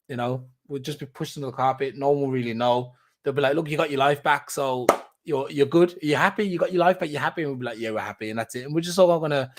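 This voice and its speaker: A man hosts a podcast on his own, talking in a loose, rambling way. He repeats words, restarts sentences and fills gaps with ums. His voice sits at an ordinary middle pitch. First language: not German. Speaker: podcast host. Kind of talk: casual solo catch-up chat.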